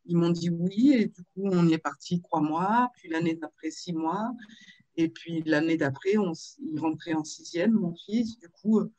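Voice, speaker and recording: A woman in her fifties from Marseille, telling her life story in a video-call interview, with frequent pauses and fillers. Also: lowish voice, dark tone, dry recording, dull audio. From Marseille, France. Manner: hesitant, with many fillers and restarts. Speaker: author and interview guest.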